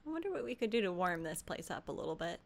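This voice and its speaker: A woman's voice: on the low side, very animated, quick pace, creaky. A woman playing a tabletop game, chatting casually and role-playing. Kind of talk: casual role-play chat.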